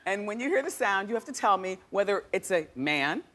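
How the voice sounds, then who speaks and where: A woman hosting a daytime talk show, brash and teasing, laughing loudly with a studio audience. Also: medium pitch, big pitch swings, a little fast, a little hiss or hum. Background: studio audience cheering.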